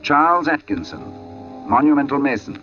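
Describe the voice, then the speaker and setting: Uneasy male storyteller, wide dramatic swings, deliberate suspense, vintage radio drama, muffled sound. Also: mid-range voice, very animated, sharp tone. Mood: haunted, tense. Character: uneasy male artist narrating an uncanny tale. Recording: muffled old radio broadcast, music behind.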